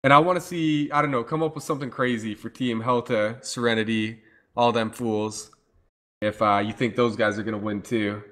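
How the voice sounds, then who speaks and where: A man casting a live online game match, talkative and playful, his voice going hoarse. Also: medium pitch, steady tone, moderate pace, fairly bright tone. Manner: talkative, playful, hypes the chat. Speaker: esports caster. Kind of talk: casual live game commentary.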